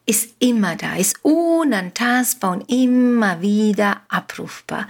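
Woman, Spanish accent, motivational podcast host, unhurried and slightly husky. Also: a little high. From Uruguay. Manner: slow, repetitive, emphatic, inspirational. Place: home studio on an island.

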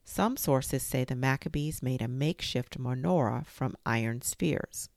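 Very low gravelly woman reading kids a story, gentle and careful, dry close mic. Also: measured pace, no echo. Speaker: storytime reader. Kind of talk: reading a children's history book aloud.

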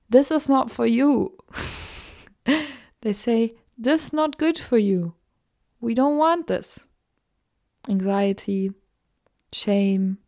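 A woman with a German accent, a native German speaker, talking gently in a solo spiritual podcast and dropping into soft whispered asides. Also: on the high side, creaky. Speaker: podcast host.

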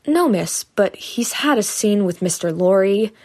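A woman's voice: mid-range voice, expressive, unhurried, darkish tone. A woman reading aloud, voicing a worried young housemaid who frets and sighs. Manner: worried, sighing.

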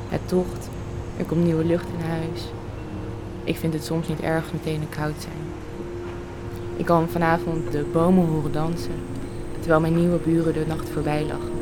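A woman reciting a poetic monologue as a spoken word poet. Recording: clear rumbling noise behind voice.

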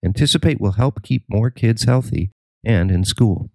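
A man's voice: lowish voice, lively intonation, normal speed, warm tone, dry recording. An American man reading a scripted local news report as the narrator.

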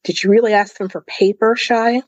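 A cool, clever male court fool, played by a woman reading aloud, taunts with wry amusement. The voice sits at a medium woman's pitch with a dry sound, in storybook style. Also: steady tone, a little fast, no room sound, muffled recording, close to an everyday voice. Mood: amused. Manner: wry, mocking.

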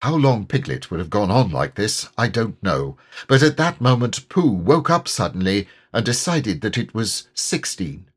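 A man reading a children's story as an audiobook narrator, in an expressive, measured way. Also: on the low side, husky. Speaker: audiobook reader.

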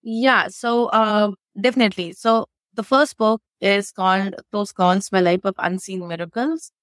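A woman's voice: medium pitch, steady tone, unhurried, no echo, clean studio sound. A woman from India, a guest on a podcast, explaining with examples and tags like "right?". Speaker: interview guest, AI expert. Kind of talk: casual interview chat.

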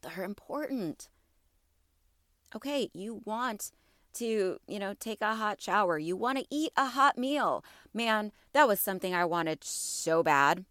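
A woman's voice: mid-range voice, lively intonation, measured pace, no room sound, clean studio sound. A woman in her thirties hosting a wellness podcast, talking casually and encouragingly to listeners, her voice a bit stuffy from allergies. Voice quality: slightly congested. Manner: warm encouraging, asks listeners questions. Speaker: podcast host.

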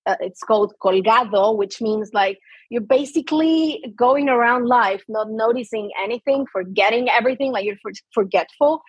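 Argentine woman guest, slightly high, husky voice, lively chat, dry muffled lo-fi sound. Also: dry recording. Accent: Argentine Spanish. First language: Spanish. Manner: animated, chatty, restarts often. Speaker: podcast guest. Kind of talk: casual interview chat. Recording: dull, lo-fi sound.

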